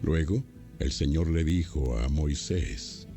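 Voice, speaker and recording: Very deep, gravelly male narrator. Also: takes it slowly. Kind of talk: scripted dramatized Bible narration. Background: music.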